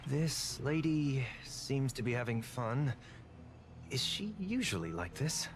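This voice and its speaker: A man voicing a suave, polite, gently teasing young man in a video game, soft-spoken and amused. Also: medium pitch, big pitch swings, takes it slowly.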